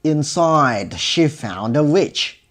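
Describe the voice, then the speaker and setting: A man narrating a scripted children's fairy tale in a measured, expressive storybook style. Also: a little high. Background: music.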